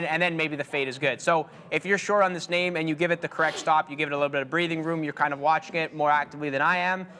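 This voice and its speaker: A man's voice: a little high, bright, forceful tone. A man trading live on a show, talking through his trades in a laid-back, rambling way with lots of fillers.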